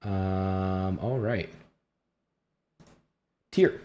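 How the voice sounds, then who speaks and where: A man acting as game master, casually and haltingly narrating a tabletop fantasy game. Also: deep voice, darkish tone.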